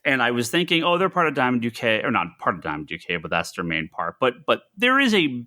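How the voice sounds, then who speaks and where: An American man co-hosting a casual discussion of industry news, often halting and repeating phrases. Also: mid-range voice, big pitch swings, sharp tone, no room sound, clean studio sound. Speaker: podcast co-host.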